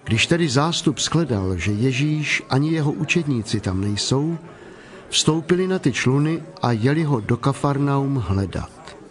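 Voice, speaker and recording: A man narrating scripture as a measured storyteller from a script. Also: medium pitch, expressive. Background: prominent music.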